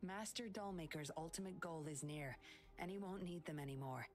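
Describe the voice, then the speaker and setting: A woman's voice: fairly low pitch, normal speed, soft background music, lightly acted. An actress playing a composed, commanding but caring woman in an English video game, giving clipped orders. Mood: stern. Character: cool, commanding red-haired woman, firm but caring.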